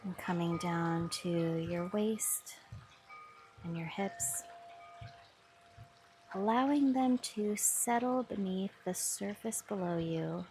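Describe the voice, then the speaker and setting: A woman guiding a mindfulness body scan meditation with soft, soothing instructions. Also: lowish voice, slow pace, long pauses, slight background noise. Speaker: meditation guide. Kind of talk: guided meditation.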